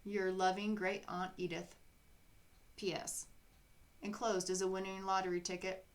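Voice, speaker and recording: A woman's voice: medium pitch, flat delivery, measured pace, close to an everyday voice. A woman voicing an affectionate, wealthy great-aunt from a storybook in a formal, fond manner.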